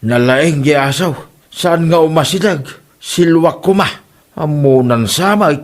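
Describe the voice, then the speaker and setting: A man's voice: fairly high pitch, measured pace, natural voice. A man playing a grumbling villager in a comedy drama, with an Ilocano Filipino accent. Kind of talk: amateur comedy radio-style drama.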